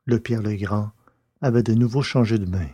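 A man's voice: lowish voice, moderate pace. A man narrating a science-fiction adventure novel as a scripted audiobook reading.

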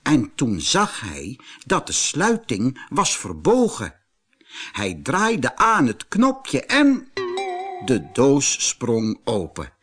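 A man narrating a children's storybook in an expressive, deliberate manner. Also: medium pitch, slightly rough.

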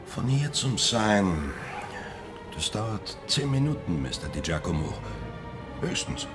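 A coldly insistent detective in a radio crime play. His voice is fairly low but very varied, dry and pointed in accusation. Music plays clearly behind him. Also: sing-song, takes it slowly. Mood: coldly accusing. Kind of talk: German radio crime drama acting.

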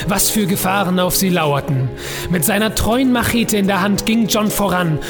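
A woman's voice: medium pitch, natural voice. A woman playing a wry, melodramatic narrator of a comic adventure audio drama, telling it in mock-epic style.

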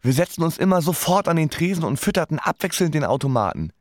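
A man's voice: a little high, sing-song, fast talker, dry recording, studio quality. A man playing a wry, resigned musician who narrates his life laconically in a radio play.

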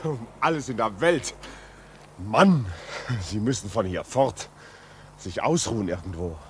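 An actor playing a concerned, educated gentleman visitor in a 1950s German radio drama, urbane and probing. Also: fairly low pitch, expressive, a little slow, slight background noise. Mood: urgent, alarmed. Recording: muffled-edged 1950s radio drama recording.

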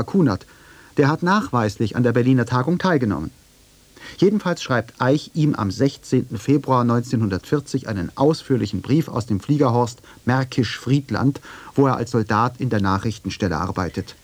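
A man's voice: medium pitch, expressive, fairly quick, no echo. A man narrating a scripted radio documentary in dialogue form.

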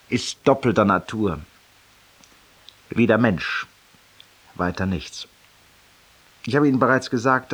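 Deep, husky, urbane talker. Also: male voice, exaggerated voice. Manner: polished, loquacious, ironic, rhetorical. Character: urbane, talkative, self-mocking judge-penitent, a tavern regular. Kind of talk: 1950s German radio drama monologue. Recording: distant-sounding 1950s radio recording.

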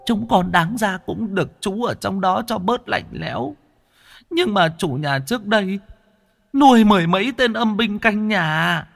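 A man voicing a timid ghost who stammers and pleads in a high, shaky voice as he answers a sorcerer. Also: unhurried, creaky, a bit bright, long reverb tail, soft background music, full character voice. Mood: frightened pleading.

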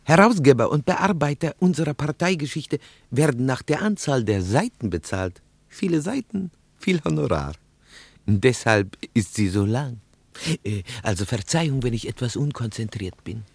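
An actor playing a nervous, talkative young Russian interpreter in a radio drama, husky and emphatic, often repeating himself. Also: male voice, medium pitch, animated, normal speed, no room sound. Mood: confiding. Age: young adult.

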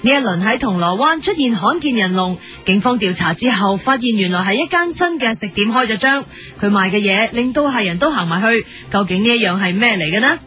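A woman's voice: medium pitch, lively intonation, a little fast, bright, forceful tone, faint music behind. A woman with a Hong Kong Cantonese accent playing an earnest, polite news reporter in a parody radio bulletin.

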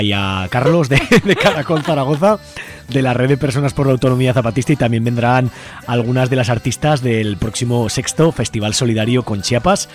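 A man with a Spain Spanish accent hosting a community radio show in a casual, informal, joking way. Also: mid-range voice. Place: busy radio studio. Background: faint music.

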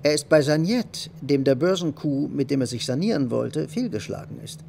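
An actor in a radio play playing a practical, wealthy bourgeois husband, deliberating and insistent. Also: male voice, a little high, animated, moderate pace, vocal fry, a little mellow, a little hiss or hum. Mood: earnest, deliberating. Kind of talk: German radio play dialogue.

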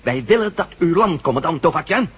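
A man's voice: a little high, expressive, fairly quick, a bit bright, breathy edge, lo-fi sound, exaggerated voice. A man acting an urgent, commanding adventurer leading a resistance team in a Dutch radio drama. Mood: firm, serious. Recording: very noisy radio drama recording.

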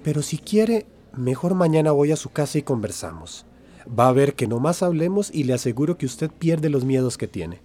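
An actor playing a pious, reassuring, deceitful pastor in a radio drama. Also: male voice, on the high side, very animated, moderate pace, dark tone. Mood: reassuring, persuasive. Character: smooth-talking, pious pastor who deceives families.

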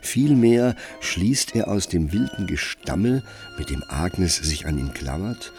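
Low voiced guy narrating a radio drama, literary, really varied intonation, string music behind. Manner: measured, expressive storytelling.

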